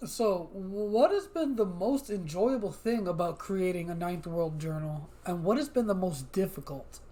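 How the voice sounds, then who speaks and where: A man's voice: high-pitched, animated, measured pace, dark tone. A man co-hosting a podcast, asking questions and bantering casually. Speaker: podcast co-host, interviewer.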